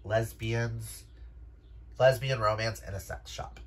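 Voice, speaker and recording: A man's voice: fairly low pitch, steady tone, slow pace. A man chatting casually to viewers as a book vlogger, gushing and emphatic, with casual swearing. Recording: noisy room background.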